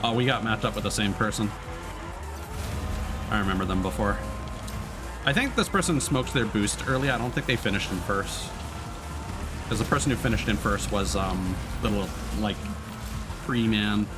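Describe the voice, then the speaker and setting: A man's voice: fairly low pitch, moderate pace, pauses often, music in the background. A man streaming a game with casual, informal, sweary live commentary. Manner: informal, sweary, self-critical. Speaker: streamer, VTuber.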